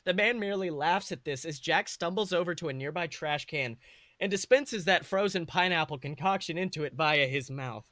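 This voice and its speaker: Higher-pitched man narrating a story. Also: normal speed, pushed and bright, no room sound. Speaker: podcast host and story reader. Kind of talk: comedic dramatic story narration.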